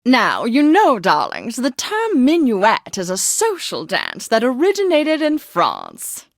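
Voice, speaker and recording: A decadent classical radio hostess from a video game. Her voice is on the high side and swoops about, recorded close and dry. She gushes theatrically in old-time slang, full of endearments. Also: huge pitch swings, slow pace, no room sound, exaggerated voice. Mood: dreamy, gushing. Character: flamboyant, martini-loving classical radio DJ, decadent lady. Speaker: radio host.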